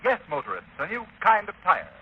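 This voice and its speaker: High, animated radio ad announcer, man. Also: brisk, slightly rough. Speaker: commercial announcer. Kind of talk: 1930s radio advertisement, emphatic scripted pitch. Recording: dull vintage radio recording.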